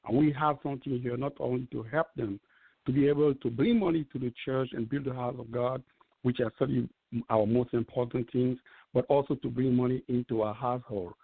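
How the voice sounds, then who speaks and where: A man's voice: medium pitch, fairly even intonation, fairly quick, creaky, breathy edge, no room sound. A man in his fifties from Cameroon, giving an earnest, persuasive testimonial as a guest on a call. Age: 50s. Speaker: guest speaker. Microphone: call-in line. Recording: tinny phone-like call audio.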